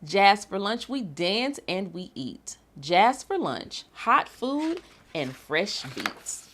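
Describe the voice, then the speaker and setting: A woman reading a children's picture book aloud with a rhythmic, bouncy, expressive delivery. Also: mid-range voice, slow pace. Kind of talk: animated storybook read-aloud.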